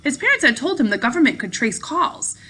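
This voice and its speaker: Female read-aloud narrator: lively, swooping delivery, bright tone, small unpolished stumbles. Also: high voice, brisk, breathy edge. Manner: animated, with occasional stumbles and rereads. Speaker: teacher reading aloud to pupils. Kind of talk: expressive storybook narration.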